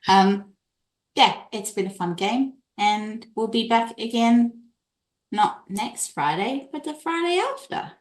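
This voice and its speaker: A woman's voice: on the high side, lively intonation, takes it slowly. A woman who plays tabletop games, chatting casually in an easygoing, self-mocking way, in a roomy recording. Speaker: tabletop game player. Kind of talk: casual chat. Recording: roomy, echoing sound.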